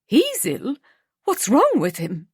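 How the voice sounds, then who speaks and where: A woman's voice: sits high, huge pitch swings, takes it slowly, darkish tone, slightly rough, no echo, clean studio sound, voice pushed up, heavily acted. A woman reading an audiobook, voicing an older grandmother who is alarmed and frankly outspoken. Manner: alarmed then blunt and frank.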